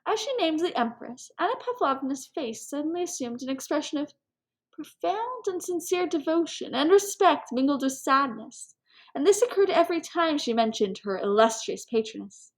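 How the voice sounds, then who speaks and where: A woman reading a literary novel aloud in an expressive, measured storytelling manner. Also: sits high. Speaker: audiobook reader. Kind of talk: scripted literary narration.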